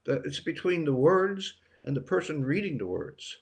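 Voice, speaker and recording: A man's voice: fairly high pitch, big pitch swings, normal speed, vocal fry. An older man, an author talking plainly in short, emphatic phrases in an interview. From Newfoundland, Canada. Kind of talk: conversational interview.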